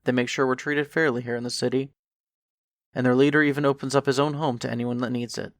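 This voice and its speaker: A man playing a lazy, laid-back skeleton who makes puns in a fan audio drama, talking in a casual, unhurried, slangy way. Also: mid-range voice, flat delivery, dry recording, studio quality, natural voice. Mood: laid-back, amiable.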